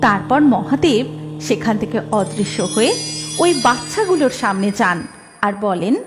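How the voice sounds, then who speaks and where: A woman narrating a cartoon story in an even storytelling manner. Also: on the high side, a little slow, over music.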